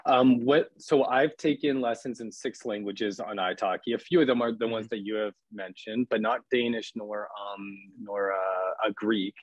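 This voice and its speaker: A man's voice: lowish voice, fairly even intonation, moderate pace, few pauses, no room sound, poor audio. A man in his thirties, an English teacher hosting an interview on a video call, asking polite questions with many "um"s and "uh"s. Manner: filler-heavy, polite questions. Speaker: podcast host, English teacher. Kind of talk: interview host.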